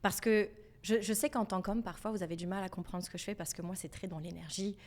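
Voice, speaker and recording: A young woman from France with a French accent, talking as a podcast guest in a lively, emphatic, convinced way about money. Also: medium pitch, fast, a little hiss or hum. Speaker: podcast guest, financial educator. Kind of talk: casual podcast conversation.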